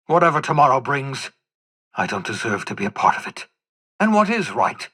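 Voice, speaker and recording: An actor playing an elderly, guilt-ridden rogue scientist in a video game, theatrical and emphatic with a husky, breathy voice. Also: male voice, medium pitch, pushed and bright. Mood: indignant. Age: older. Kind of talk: dramatic video game voice acting.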